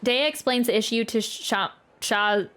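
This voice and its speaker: A woman's voice: on the high side, moderate pace, pushed and bright. A woman streamer giving casual live game commentary, chatty and musing aloud, close to the mic. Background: game music.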